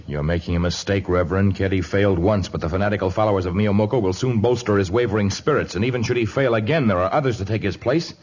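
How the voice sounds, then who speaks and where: An actor playing a commanding, righteous jungle hero in firm, formal 1950s radio drama style. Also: male voice, lowish voice, fast, hardly any pauses, a little mellow, slightly rough. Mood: stern, urgent. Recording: slightly dull vintage radio recording.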